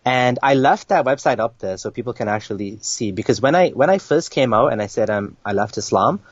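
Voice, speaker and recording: Young man telling his story, halting. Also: medium pitch, animated, husky, no room sound. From Kenya. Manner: halting, many restarts and fillers. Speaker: interview guest. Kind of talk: casual interview talk. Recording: dry, dull lo-fi sound.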